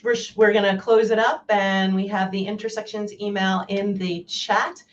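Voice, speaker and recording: A woman co-hosting a podcast on a video call, a little hoarse, friendly and upbeat. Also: medium pitch, little pitch movement, moderate pace, lo-fi sound, a little hiss or hum. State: losing her voice. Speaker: podcast co-host.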